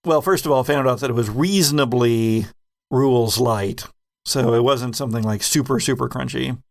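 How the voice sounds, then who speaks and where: Gravelly-voiced man hosting a casual podcast. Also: mid-range voice, normal speed. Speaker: podcast host and game master. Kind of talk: casual retrospective chat.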